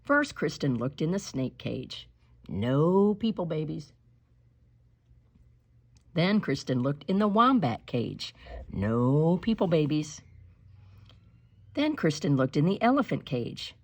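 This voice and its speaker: A woman's voice: deep voice, big pitch swings, slow, pauses often. A woman reading a children's story aloud with dramatic, emphatic storytelling. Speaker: storybook reader. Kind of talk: animated read-aloud children's narration.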